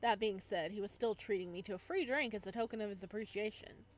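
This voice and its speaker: A woman's voice: medium pitch, fast talker. A woman hosting a playthrough, reading visual novel narration aloud expressively, with casual asides.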